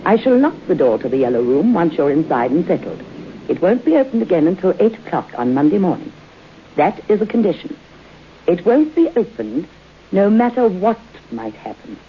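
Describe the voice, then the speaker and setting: A woman's voice: on the low side, big pitch swings, normal speed, a little hiss or hum. An older woman acting an imperious old aristocratic lady in a radio horror drama, speaking formally and commandingly with theatrical delivery. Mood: stern. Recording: dull, muffled old radio broadcast.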